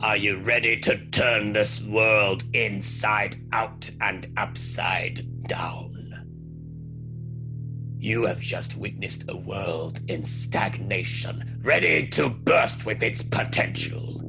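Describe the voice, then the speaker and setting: A mysterious, theatrical host, acted by a man, with a slow, creaky, lowish voice. He addresses listeners portentously, like a schemer stirring up a story world, over plucked guitar music. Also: very animated, exaggerated voice. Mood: mysterious, rousing. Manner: deliberate, portentous.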